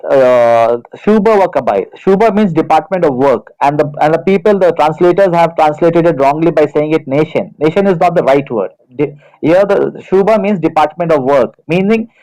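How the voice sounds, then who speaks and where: A man with an Indian accent explaining and arguing informally, insistent and repetitive, with halting restarts. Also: on the high side, dark tone, rough recording. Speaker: discussion participant in an audio chatroom.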